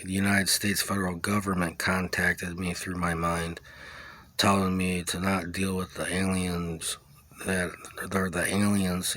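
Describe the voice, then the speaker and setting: A man giving a rambling first-person account, halting as he searches for his words. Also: sits low, fairly bright tone. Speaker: video creator recounting own experience.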